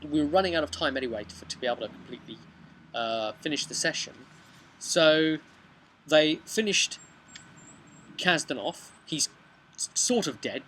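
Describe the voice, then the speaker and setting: A man in his thirties tells the story of a tabletop game session in a casual, animated way. His voice is mid-pitched and bright, with a forward edge. He is recorded outdoors on a camera mic, with some faint background noise. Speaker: game master recapping his campaign. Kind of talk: casual storytelling vlog about a tabletop role-playing session. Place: outdoors on a bench beside a brick house. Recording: noisy outdoor home video recording.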